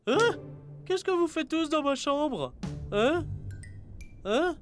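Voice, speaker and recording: A woman's voice: high-pitched, lively intonation, takes it slowly, soft background music. A woman playing a dazed, sleepy young football player in a French cartoon dub, bewildered and complaining.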